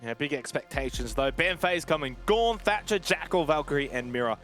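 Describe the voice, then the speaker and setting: A man commentating an esports match in a relaxed, conversational way, calling the action. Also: on the high side, brisk, soft background music. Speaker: esports caster. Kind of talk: play-by-play commentary.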